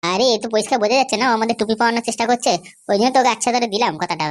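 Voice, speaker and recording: A woman's voice: fairly high pitch, racing, close to an everyday voice. A woman voicing a boastful, vengeful male kidnapper who argues brashly in an amateur comedy cartoon. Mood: suspicious, arguing.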